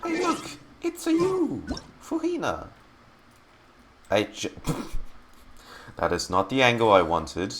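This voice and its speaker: A man doing casual, expressive live game commentary, informally muttering to himself with a creaky voice. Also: mid-range voice, slow, pauses often, a little hiss or hum. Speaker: streamer reacting to a game. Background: game music.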